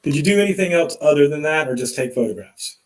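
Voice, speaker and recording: A man's voice: fairly high pitch, animated, quick pace. Echoey man questioning a witness in court. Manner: probing, leading questions. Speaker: defense attorney. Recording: echoey courtroom playback on live stream.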